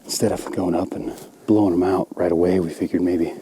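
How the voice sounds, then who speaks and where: A man hunting outdoors, talking through the plan on camera in a halting, thinking-aloud way. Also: low-pitched, steady tone, dark tone, slight background noise. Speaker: hunter.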